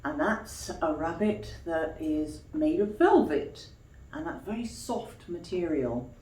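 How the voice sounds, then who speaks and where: A woman storyteller reading expressively to young children like a teacher, pausing for questions and explanations. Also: low-pitched, lively intonation, dark tone. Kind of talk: children's storytime read-aloud with explanatory asides. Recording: echoey room.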